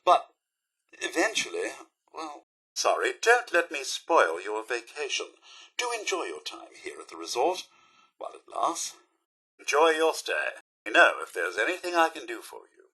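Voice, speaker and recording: A man's voice: mid-range voice, huge pitch swings, normal speed, sharp tone, breathy edge, heavily acted. A man voicing a fussy, polite robot butler for a video game, with a British accent and prim, theatrical, formal phrasing. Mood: weary, gloomy, then polite, dry. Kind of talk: video game character voice lines.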